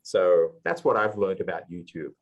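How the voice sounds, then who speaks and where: Brief: man on a live panel, low-pitched, earnest and explanatory. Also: dull audio. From Australia. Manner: earnest, explanatory, halting with fillers. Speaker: panelist, language YouTuber. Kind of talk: live conference panel talk.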